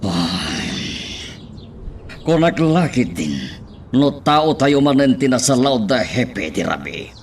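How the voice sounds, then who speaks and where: A man's voice: medium pitch, very animated, slow, long pauses. A man acting a stern, commanding crime boss and father in a radio drama. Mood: stern, scheming. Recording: faint background noise.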